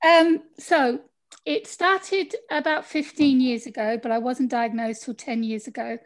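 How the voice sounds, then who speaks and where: A woman's voice: high-pitched, steady tone, unhurried. A middle-aged woman from the UK with a British accent, telling her story as a guest in a casual interview over a video call, chatty and laughing often. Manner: chatty, laughs often, acts out quoted people. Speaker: podcast guest.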